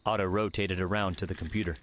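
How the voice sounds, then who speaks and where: A man narrating an audiobook in a measured, steady storytelling manner. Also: deep voice, no room sound. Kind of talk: scripted narration. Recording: music bed under the voice.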